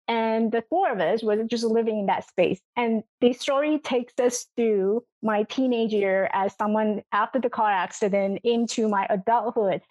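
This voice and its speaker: A woman's voice: a little high, steady tone, moderate pace, hardly any pauses, a little mellow, highs cut off. A middle-aged woman from Taiwan giving reflective, earnest answers as an interview guest, often repeating words as she finds her phrasing. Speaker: interview guest, coach and memoir author.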